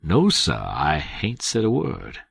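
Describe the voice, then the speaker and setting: Guarded Southern man, deep and unhurried with a husky grain; man voicing him. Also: huge pitch swings, a bit bright, no room sound, studio quality, full character voice. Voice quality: slow, careful, lowered replies. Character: cautious, quiet grown man with a Southern dialect.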